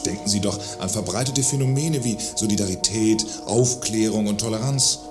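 A man acting as a condescending intellectual in a satirical radio debate. His voice is fairly low, his phrasing formal and wordy. Music plays clearly behind him. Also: normal speed, natural voice. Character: pompous intellectual male debater, sceptical and condescending.

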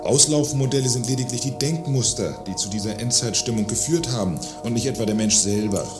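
A man's voice: medium pitch, brisk, music in the background, lightly acted. A man playing a pompous, sceptical intellectual debater in a satirical radio play, formal, wordy and condescending.

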